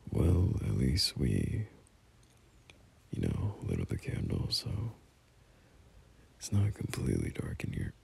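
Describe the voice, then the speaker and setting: A man playing a gentle, teasing young boyfriend in an intimate ASMR roleplay, speaking softly and breathily, often half-whispering in a hesitant, soothing way. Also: a bass voice, wildly swooping pitch, slow, vocal fry, pauses often, slightly rough, exaggerated voice. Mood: soft, relaxed. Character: gentle, teasing young boyfriend comforting his scared partner. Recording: faint background hiss.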